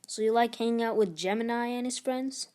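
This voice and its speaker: A woman voicing a thoughtful, earnest middle-school boy prince in a storybook, with a questioning, measured manner. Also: fairly high pitch, a little mellow, pitched above the performer's own voice, close to an everyday voice. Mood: probing.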